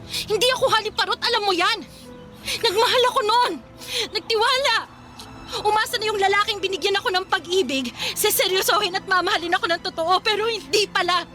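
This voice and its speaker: A woman's voice: very high-pitched, a little fast, over music. A woman playing a scorned, proud ex-mistress in a Filipino radio drama, confrontational, sarcastic and emotional. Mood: anguished fury.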